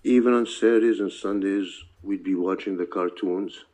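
An older man from Palestine who moved to the US as a child, speaking earnestly and reflectively in a personal oral history interview, with deliberate phrasing and emphatic repetition. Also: deep voice, steady tone, warm tone. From born in Palestine, moved to the US as a child.

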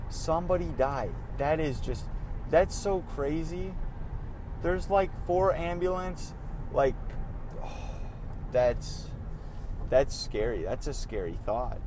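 A young man with a slightly raspy, tired voice hosting a casual solo podcast while driving, rambling and interrupting himself. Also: medium pitch, a little slow. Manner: rambling, animated, self-interrupting. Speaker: podcast host. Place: in a car, driving outdoors. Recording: faint road noise in a car. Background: car and street noise.

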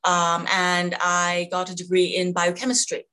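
Middle-aged woman from Jamaica, lower voice, reflective interview guest with lots of "um"s. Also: completely flat delivery, moderate pace, pushed and bright. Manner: thoughtful, many fillers and restarts. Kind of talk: conversational interview.

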